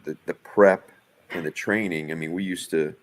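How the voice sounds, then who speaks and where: A man with a low voice speaks in a casual work meeting. He goes haltingly, catching on the first sounds of words and starting them again as he thinks through plans. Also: steady tone, a little hiss or hum. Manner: hesitant, halting, repeats word starts. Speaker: live event producer planning a show. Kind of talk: casual business meeting talk.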